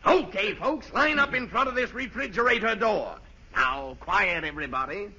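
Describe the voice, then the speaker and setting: A bossy carnival-style showman in an old radio comedy. His voice is very high and gravelly, with slow, emphatic announcing, on a dull vintage broadcast with faint noise. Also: animated, sharp tone, full character voice. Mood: pompous showmanship. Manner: barker-like, emphatic, officious. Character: bossy showman guarding a roast like treasure. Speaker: actor in comedy radio show.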